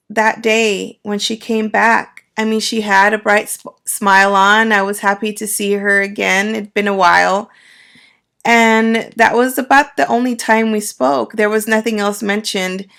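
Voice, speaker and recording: A woman coach speaking reflectively to her viewers, her voice a little raspy from a cold. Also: medium pitch. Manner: soft, unhurried and reflective, with many fillers. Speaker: astrology and life coach. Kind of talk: personal talk to camera.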